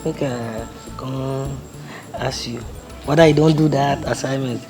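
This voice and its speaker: A woman's voice: extremely low pitch, steady tone, measured pace, a little mellow, soft background music. A woman with a Nigerian Pidgin accent playing a giggly, hesitant young woman in a comedy skit.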